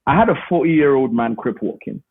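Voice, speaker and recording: A man's voice: medium pitch, expressive, brisk, vocal fry, slightly rough. A man, talking casually and emphatically as an interview guest on a call. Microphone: remote call. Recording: thin phone-like call audio.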